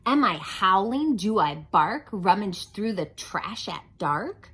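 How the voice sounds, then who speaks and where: A woman's voice: mid-range voice, big pitch swings, slow pace, a bit bright, a little hiss or hum, exaggerated voice. A woman voicing an indignant little child in a timeout chair, protesting in sing-song rhyme.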